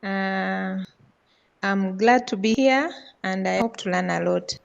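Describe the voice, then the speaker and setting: Female student, formal greeting, gravelly mid voice. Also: medium pitch, slow, dull audio. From Uganda. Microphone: video call.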